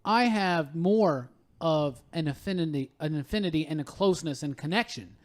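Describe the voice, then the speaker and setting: A man with a somewhat high voice hosts a casual panel chat. He talks with open enthusiasm and leans on his words for emphasis, like a fan sharing favorites. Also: moderate pace. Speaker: podcast host.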